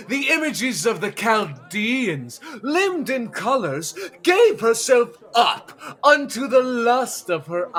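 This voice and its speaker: An actor playing a fanatical prophet raging against sin, declaiming in an oratorical classical audio drama style. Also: male voice, high voice, a bit bright, full character voice. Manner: ranting, oratorical, archaic solemn delivery.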